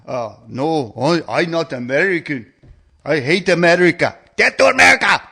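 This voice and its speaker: A man voicing a blunt, belligerent foreign truck renter in halting, broken-grammar speech for a mocking rant. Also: fairly high pitch, animated, vocal fry, bright, forceful tone, breathy edge, full character voice.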